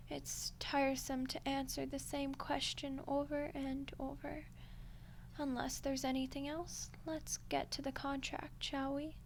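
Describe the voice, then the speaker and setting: A woman playing a smug, condescending female archdemon in a soft-spoken ASMR roleplay, unhurried and menacingly polite. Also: high-pitched, a little hiss or hum. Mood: bored, businesslike. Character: ancient female archdemon, smug, coolly seductive deal-maker.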